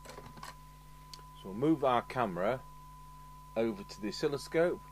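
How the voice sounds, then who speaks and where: Man with lively pitch, noisy hum. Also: takes it slowly. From United Kingdom. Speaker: host, radio repair technician. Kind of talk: hands-on repair commentary. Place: indoor electronics workbench. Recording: clear background noise with electrical hum. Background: steady hum and noise.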